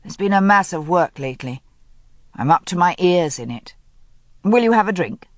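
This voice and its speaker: A weary, grieving upper-class man, as a woman reader puts him on, with a very deep, low-set voice. He sounds subdued and clipped, and he keeps reproaching himself. The recording is dry and close, with no room around it. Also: animated, moderate pace, no echo, close to an everyday voice. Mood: breezy. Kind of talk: audiobook character reading.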